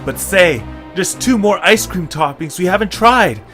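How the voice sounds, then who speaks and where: A man playing an excitable, trusting male creature, the heroine's best friend, in an amateur audio drama. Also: high voice, a little slow, over music. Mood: puzzled, urgent. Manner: excitable, urgent.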